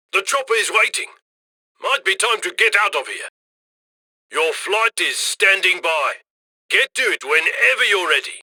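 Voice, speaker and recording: A man's voice: high voice, bright, forceful tone, no room sound, clean studio sound, full character voice. An actor playing a cocky, chummy male heist handler in a video game, with a wry, folksy, upbeat manner. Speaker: mission handler.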